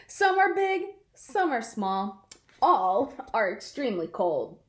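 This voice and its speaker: A storybook factory manager as a woman reads him aloud, keen and long-winded. He presents in drawn-out phrases, high and lively, in a roomy space. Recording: roomy, echoing sound.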